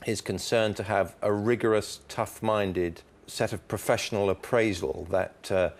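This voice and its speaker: A man on a discussion panel giving opinions on a book in articulate, deliberate, emphatic phrases. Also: on the low side, steady tone.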